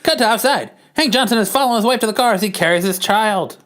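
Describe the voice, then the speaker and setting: A man's voice: high voice, fast, a bit bright. A man hosts a dramatic comic-book reading as the narrator. He sets each panel in a theatrical, amused announcer style, played for laughs. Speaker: podcast host, narrator.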